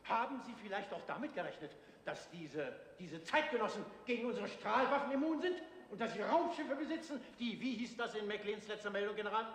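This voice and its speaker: An actor playing a stern older military commander, formal and sarcastic, in a 1960s science fiction TV drama. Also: male voice, high-pitched, animated, brisk, hardly any pauses, a bit bright. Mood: grave, sarcastic. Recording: echoey hall-like studio set.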